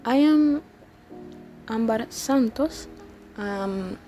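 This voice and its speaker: An eighteen-year-old woman from the Dominican Republic, a native Spanish speaker with a Dominican accent, talking casually to viewers about herself and haltingly searching for words. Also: a little high, warm tone. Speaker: language-learning YouTuber. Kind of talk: casual personal storytelling to viewers. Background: music.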